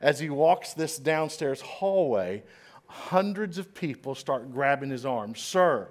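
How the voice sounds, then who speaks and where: A man preaching a sermon in a church, dramatic and swinging between loud and hushed, with a slight stutter at times. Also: medium pitch, animated, slow. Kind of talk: sermon storytelling. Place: church stage.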